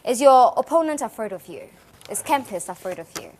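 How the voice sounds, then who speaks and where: A woman's voice: on the high side, animated, brisk, darkish tone, a little hiss or hum. A woman hosting a political TV interview in a studio, asking direct, probing questions. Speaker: television host. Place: television studio.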